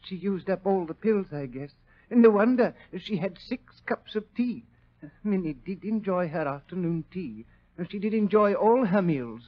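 A man's voice: high-pitched, lively intonation, unhurried. An actor playing a meek, flustered, fussy man who dithers and hesitates, in 1940s radio drama style. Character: meek, fussy, flustered brother of poisoning victim. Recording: muffled old-time radio recording.

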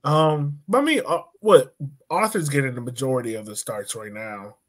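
A man's voice: medium pitch, normal speed. A man in his thirties co-hosting a casual soccer chat over a video call from home. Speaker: soccer podcast co-host. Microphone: video call microphone.

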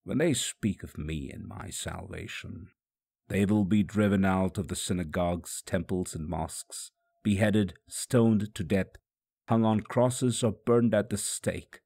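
A man's voice: low-pitched, lively intonation, unhurried, vocal fry, darkish tone, husky, no room sound, clean studio sound. A man narrating a dramatic first-person religious story in a solemn, emphatic manner. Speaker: audiobook narrator.

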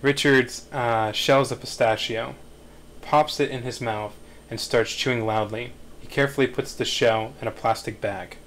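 A man's voice: medium pitch, steady tone, moderate pace, a bit bright, slight background noise. A man reading stage directions as scripted narration at a screenplay table read.

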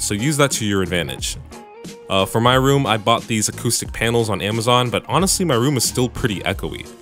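A man with a bassy voice casually narrating a home recording tutorial, with joking asides, on a studio mic in his home room. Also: fairly bright tone. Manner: laid-back, joking asides. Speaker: music producer and YouTube tutorial host. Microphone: studio microphone through audio interface.

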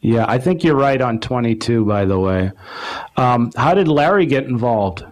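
A man interviewing a guest for a club meeting in an informal, halting, stammering way. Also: mid-range voice, expressive.

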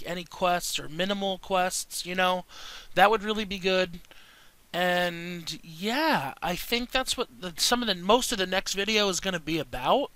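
A young man with a congested, stuffy voice, chatting casually and excitably as he comments on his own gameplay. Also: on the high side, smooth, dry recording. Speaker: gaming video host.

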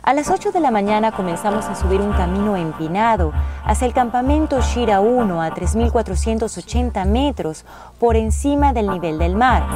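A woman reading a scripted travel narration in the role of narrator and traveller. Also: medium pitch.

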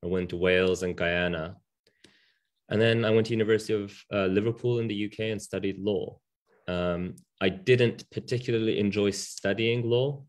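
A man's voice: on the low side, fairly even intonation, moderate pace. A man in his thirties, a Japanese native speaker, speaking as a barrister on a webinar panel over a video call from a home room, candid with frequent ums and restarts. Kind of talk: webinar talk.

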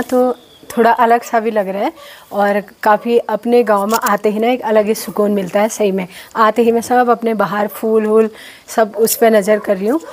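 A woman's voice: mid-range voice, darkish tone. A woman, chatting casually to camera as a vlogger. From Uttarakhand hills, India. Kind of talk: casual vlog chat to camera. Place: mostly outdoors, roadside and village. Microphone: phone at arm's length or clip-on lapel. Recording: faint outdoor background noise.

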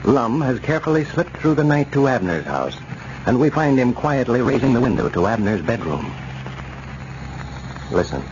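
Lively vintage American radio announcer man. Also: mid-range voice, moderate pace, long pauses. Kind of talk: 1940s radio narration and sponsor read. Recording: muffled, hissy old radio recording.

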